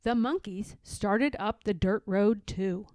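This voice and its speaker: A woman narrating a children's storybook aloud in an expressive, deliberate way. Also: mid-range voice, no echo, studio quality.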